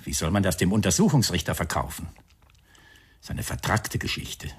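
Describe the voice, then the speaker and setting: A sardonic, grumbling police inspector in a radio crime drama. His low, husky voice moves widely in pitch. He speaks with measured insistence, like someone weary of the job and determined to get answers. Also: very animated, exaggerated voice. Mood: irritable, grumbling.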